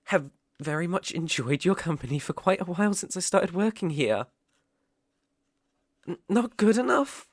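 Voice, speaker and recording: A man's voice: high voice, no room sound, studio quality, full character voice. A man with a British accent playing a devoted, proper butler in an intimate ASMR romance roleplay, polite, deferential and hesitant. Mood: shy, confessing. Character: young male butler, devoted, proper, flustered by love.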